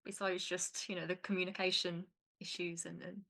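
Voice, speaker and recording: A woman's voice: on the low side, flat delivery, moderate pace, sharp tone. A woman hosting a casual interview, giving short encouraging replies and asking questions. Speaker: podcast host.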